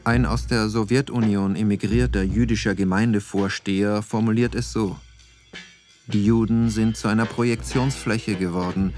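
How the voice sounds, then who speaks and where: A man's voice: lowish voice, steady tone, moderate pace, darkish tone, natural voice. A man delivering scripted narration in a radio play. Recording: faint music under the voice. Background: faint background music.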